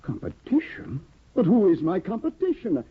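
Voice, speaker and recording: A man's voice: sits high, wildly swooping pitch, moderate pace, husky, full character voice. A man playing a fussy, anxious young scholar husband in a 1950s radio drama, stammering and eager. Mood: flustered. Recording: tinny old radio broadcast.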